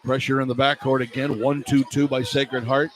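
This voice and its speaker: A man calling live basketball on the radio in clipped running descriptions that rise into excited calls, as a play-by-play announcer. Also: mid-range voice, normal speed. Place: indoor college basketball arena. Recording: clear arena noise behind the voice.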